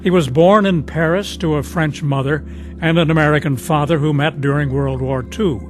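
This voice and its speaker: A man announces and narrates a scripted radio drama program. His voice sits a little high, with faint music along with it. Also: fairly even intonation, normal speed.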